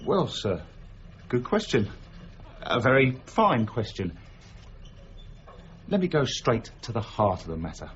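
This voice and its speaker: An actor playing a cocky, smooth-talking con man who flatters and schemes in a period radio drama. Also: mid-range voice, measured pace, pauses often, dull audio, slight background noise. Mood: flattering, oily.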